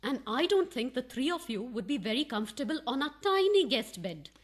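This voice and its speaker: A woman's voice: high-pitched, very animated, fairly quick. A woman playing a prim, easily scandalised housewife in a farcical radio play, pointed and theatrical. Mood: baffled, scandalised.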